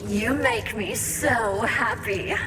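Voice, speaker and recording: A woman's voice: fairly high pitch, slow pace, roomy sound, far from the mic, noisy background. An actress playing a flirtatious, possessive and eccentric female robot in a video game, cooing and full of pet names. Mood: lovestruck, excited. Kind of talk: video game character acting.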